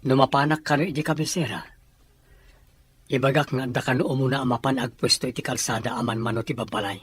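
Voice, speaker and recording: A man's voice: medium pitch, steady tone, fast talker, slightly rough, lightly acted. A man playing a sober boss who explains matters plainly in an audio drama, with an Ilocano accent. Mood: grave, explaining. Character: sober male boss, addressed respectfully as sir.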